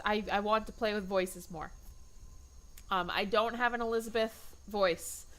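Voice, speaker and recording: A tired young woman chatting in an excitable, self-deprecating way while playing a game on her channel. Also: medium pitch. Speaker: gaming vlogger. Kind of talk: casual let's-play chat.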